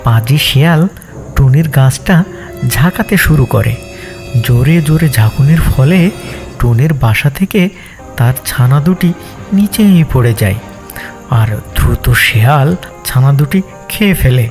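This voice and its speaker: A man's voice: mid-range voice, animated, measured pace, long pauses, darkish tone, faint music behind. A man narrating a scripted children's fable for a cartoon as its storyteller.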